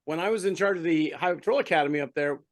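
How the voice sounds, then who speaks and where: Higher-voiced man chatting casually. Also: racing. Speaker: podcast co-host. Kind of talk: casual chat, anecdotes.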